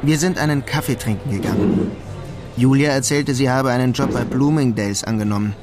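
An actor plays a lovelorn young man stuck in a menial job, sardonic and bruised. His brooding delivery suits a radio crime drama. Music plays clearly beneath his voice. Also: mid-range voice, a little fast, lightly acted. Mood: wry narrating. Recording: radio play with loud music underneath.

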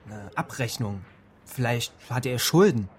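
An actor playing an earnest, eager young police investigator in a naturalistic radio drama. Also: male voice, medium pitch, animated, unhurried, a little hiss or hum, natural voice. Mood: thoughtful, speculating. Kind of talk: naturalistic radio play dialogue.

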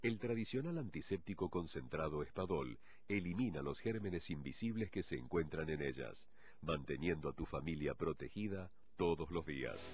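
A man's voice: extremely low pitch, expressive, normal speed, darkish tone. A man reading a scripted product advertisement. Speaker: ad announcer. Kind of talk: scripted ad read. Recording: voice over music.

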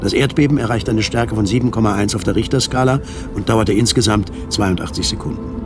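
A man narrating a science fiction radio play in a scripted, dramatic style. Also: mid-range voice. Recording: studio radio play with loud music bed. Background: loud music and effects.

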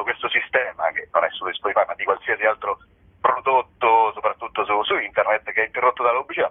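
A man's voice: fairly low pitch, husky. A man chats casually about his stage work as a comedy writer. His delivery is hesitant and meandering, with a dry wit. The voice comes through a narrow phone line, as in a radio call-in. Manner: hesitant, wry, rambling. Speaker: theatre comedian and writer presenting a show. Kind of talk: casual promotional interview. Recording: narrow-band telephone line, radio interview.

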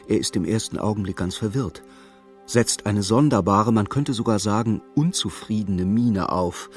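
A man's voice: on the low side, darkish tone. A man narrating a radio play in scripted, literary present-tense prose. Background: music.

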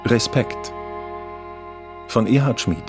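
A man's voice: mid-range voice, takes it slowly. A man reading the title and cast credits as a scripted radio announcement. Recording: music behind the announcer.

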